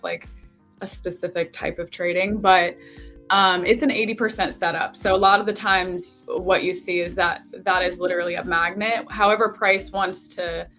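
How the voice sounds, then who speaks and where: A woman's voice: lowish voice, fairly bright tone. A young woman hosting a live trading stream, talking casually and rambling with lots of fillers while she works on screen. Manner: chatty, rambling with many fillers. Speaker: trading livestream host.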